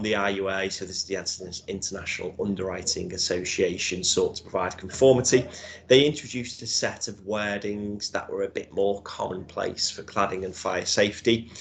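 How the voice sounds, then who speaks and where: A man's voice: on the low side, vocal fry, a little hiss or hum. A man with a Scouse accent giving a webinar presentation as an insurance broker, explaining in a conversational way with frequent hedges and "right?" checks.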